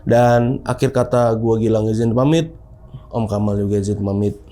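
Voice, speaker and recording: A young man hosting a casual horror-story podcast interview in a studio, chatty and relaxed. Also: on the low side, normal speed, a little hiss or hum. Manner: relaxed, chatty, uses Jakarta slang. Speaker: podcast host.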